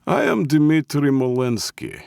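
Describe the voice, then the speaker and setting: A man voicing a courteous, quietly menacing Russian gangster boss with a Russian accent in an audiobook story. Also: fairly high pitch, animated, a little slow, vocal fry, clear, resonant tone, no room sound, clean studio sound, pitched above the performer's own voice. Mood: politely threatening.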